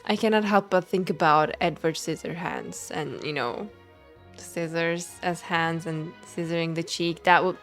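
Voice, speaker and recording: A woman, a non-native English speaker, giving casual, expressive live game commentary and chatting playfully with viewers. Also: lowish voice, unhurried, faint music behind. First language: not English. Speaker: game streamer.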